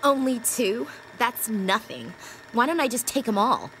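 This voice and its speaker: Blunt young assassin girl in a game, higher voice, very sure of herself. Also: animated, measured pace, a bit bright, rough recording. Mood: cold, confident. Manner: blunt, self-assured. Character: confident, lethal young girl assassin and devoted apprentice. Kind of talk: video game cutscene acting. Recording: game audio with faint ambient noise.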